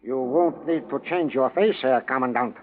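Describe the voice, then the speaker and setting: Casting: male actor as prison doctor, deferential schemer, medium pitch; old radio play, thin sound with faint noise. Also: moderate pace, lightly acted. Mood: persuasive, deferential. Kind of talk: 1940s radio drama acting. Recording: tinny, noisy 1940s radio recording.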